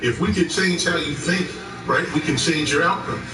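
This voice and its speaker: A man co-hosting a casual talk stream, telling a story with lively quoted dialogue. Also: a little high, normal speed. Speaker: co-host. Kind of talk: casual podcast chat. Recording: echoey, distant mic with music behind.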